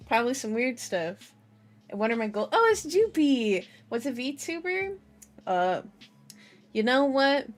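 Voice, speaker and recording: A young woman streamer chatting casually in a playful, silly, giggly manner with cutesy slang, like she is hosting a livestream introduction. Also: on the high side. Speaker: VTuber streamer, channel host.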